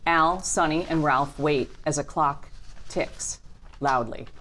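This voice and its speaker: Woman reading stage directions, pretty deep voice, script narration at a table read. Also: slow pace, fairly bright tone.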